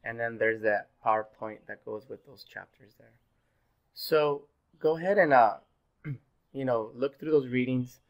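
A man in his thirties, a little sick and hoarse, giving a pre-recorded online class as instructor, relaxed and full of fillers. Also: mid-range voice, a little slow, highs cut off. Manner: relaxed, filler-heavy, repeats "go ahead". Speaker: university instructor. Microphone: webcam in corner of screen recording.

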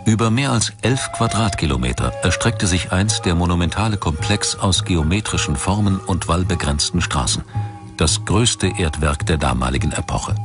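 A man reading scripted documentary narration as the narrator. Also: sits low. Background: music behind the voice.

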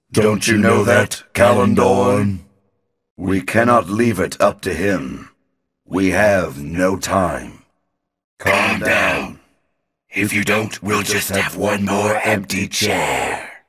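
A very raspy, imperious phantom. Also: male voice, deep voice, a bit bright, full character voice. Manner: pompous, menacing, deliberate. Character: imperious male phantom sorcerer, cold and menacing. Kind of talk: video game villain acting.

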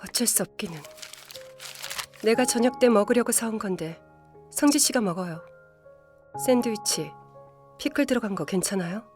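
A woman's voice: medium pitch, expressive, normal speed, pauses often, a little mellow, faint music behind, close to an everyday voice. An actress playing a curt but secretly kind office team leader in her thirties in a Korean audio drama. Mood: brusquely caring.

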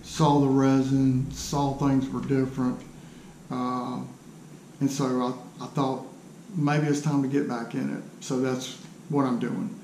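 A man, talking casually and haltingly to camera, in a self-deprecating way. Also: medium pitch, flat delivery, darkish tone. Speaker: woodturner introducing his channel. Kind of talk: casual unscripted vlog talk to camera. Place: small cluttered home workshop, indoors. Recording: roomy workshop echo, faint background noise.

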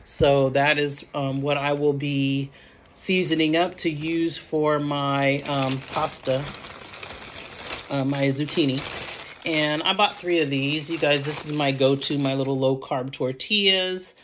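A woman's voice: deep voice, fairly even intonation, a little slow, background noise. A middle-aged or older American woman chatting casually to the camera in a rambling, self-correcting way. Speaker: vlogger showing a grocery haul. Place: indoor room at home.

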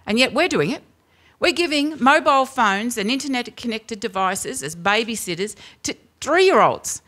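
A woman in her fifties with an Australian accent, giving an impassioned speech at a rally with a loud, booming voice and many rhetorical questions, speaking into a stand microphone at a lectern indoors. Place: indoor venue with brick wall.

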